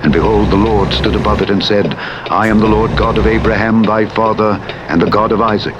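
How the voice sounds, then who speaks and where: A man narrating the Bible in a solemn, measured and formal declamatory manner. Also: fairly even intonation. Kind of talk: solemn scripture reading. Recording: played in a game over rumbling truck engine noise. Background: vehicle rumble from a truck simulator game.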